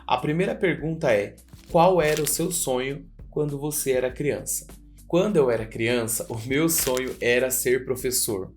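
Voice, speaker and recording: A male teacher looks back on his childhood in a calm, reflective voice. Soft guitar music plays behind him. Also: mid-range voice, moderate pace. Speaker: public school teacher. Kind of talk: personal testimony to camera.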